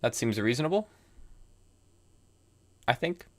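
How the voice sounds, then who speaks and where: Young American man in his early twenties, mid-pitched, talking through chess moves on stream over keyboard clicks. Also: expressive, a little slow. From United States. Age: young adult. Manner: thinking aloud, musing and reading chat. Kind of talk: live commentary while playing chess.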